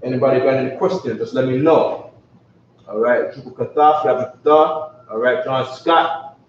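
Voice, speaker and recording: A man's voice: medium pitch, moderate pace, slight background noise. A man teaching a casual live class, who keeps repeating himself and asking "you know what I'm saying?". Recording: echoey, distant, dull live stream.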